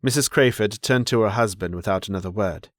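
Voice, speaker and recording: Low-voiced man narrating a classic novel. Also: dry recording, studio quality. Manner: measured, dramatic storyteller. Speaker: audiobook narrator. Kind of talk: scripted literary narration.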